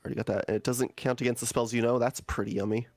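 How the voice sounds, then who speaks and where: A man's voice: mid-range voice, steady tone, rapid-fire, darkish tone, no echo, soft background music. Sick guy w groggy voice reading out loot stats, dry close sound. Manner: reads item text aloud with asides. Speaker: player. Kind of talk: casual tabletop game chat.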